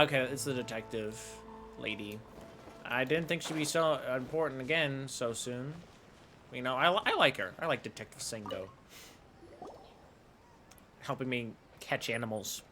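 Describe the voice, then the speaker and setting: A man's voice: medium pitch, unhurried, pauses often, pushed and bright, faint music behind. A man live-streaming a game with casual, rambling, chatty commentary.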